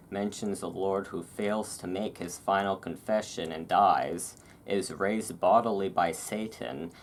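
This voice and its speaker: Low-voiced reader with halting, self-deprecating manner. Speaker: reader and host. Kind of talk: relaxed reading aloud with casual rambling asides. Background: thunderstorm ambience.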